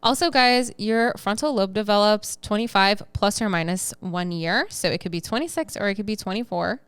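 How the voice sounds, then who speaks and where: A woman in her twenties co-hosting a casual podcast, chatty and animated, laughing easily as she talks. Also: mid-range voice, moderate pace, clean tone, dry recording. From United States. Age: 20s. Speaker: podcast co-host.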